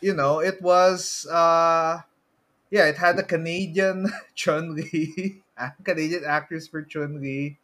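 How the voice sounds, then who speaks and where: Filipino accent guy on a podcast, slightly high voice, casual chat, kinda halting. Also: fairly even intonation, a bit bright. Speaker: podcast co-host.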